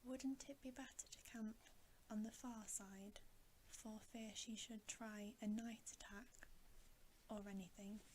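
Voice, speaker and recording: A woman voicing an anxious, earnest young boy from a storybook in a tentative, breathy ASMR whisper. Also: medium pitch, fairly even intonation, takes it slowly, darkish tone, a little hiss or hum, natural voice, low-quality recording. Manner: tentative, questioning.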